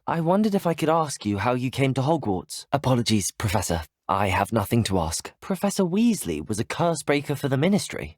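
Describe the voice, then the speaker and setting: A man's voice: medium pitch, breathy edge, dry recording, studio quality. A young man with a British accent playing an earnest, courteous student wizard in a video game. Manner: courteous, well-spoken, sincere.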